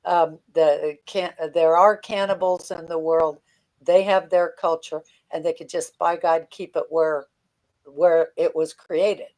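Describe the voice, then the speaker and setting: An older American woman as a guest commentator, talking politics conversationally in a halting, blunt manner. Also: low-pitched, steady tone, darkish tone, poor audio.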